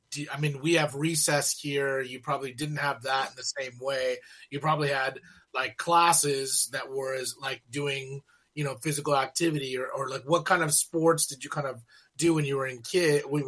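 A man's voice: medium pitch, steady tone, normal speed, a bit bright. A man hosting an interview, asking chatty, curious questions. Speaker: host. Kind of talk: casual interview conversation.

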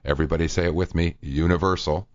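Male radio host, very low voice, dry studio sound, dull lo-fi recording, casual with fillers. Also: fairly even intonation, fast, no room sound. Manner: reads quotes aloud at length, many fillers.

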